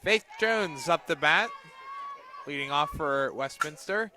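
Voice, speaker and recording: A man doing live radio play-by-play of a softball game in short, present-tense calls that lift on the plays. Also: a little high, lively intonation, takes it slowly, a crowd in the background.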